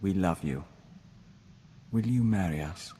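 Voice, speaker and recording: A man voicing a gentle, devoted diplomat of an insect hive mind, formal and measured, in a video game. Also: deep voice, breathy edge. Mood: tender, earnest. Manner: formal, measured, speaks of himself as we. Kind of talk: scripted video game dialogue acting. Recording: long reverb, noisy game ambience.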